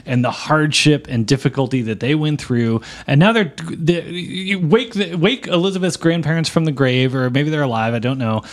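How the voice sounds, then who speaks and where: Man, podcast co-host, mock-serious, argumentative, a bit higher pitch. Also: moderate pace, hardly any pauses. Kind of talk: casual debate chat.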